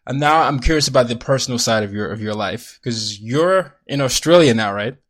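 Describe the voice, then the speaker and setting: A man's voice: medium pitch, animated, fairly quick, a bit bright. A man hosting an interview, friendly and curious, recapping his guest's points and asking follow-up questions. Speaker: interview host.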